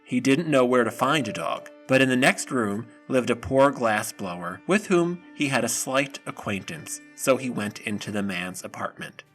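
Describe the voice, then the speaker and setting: A man delivers scripted storybook narration for an audio drama. His voice is medium in pitch. Faint music sits behind him. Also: animated, normal speed, few pauses, fairly bright tone.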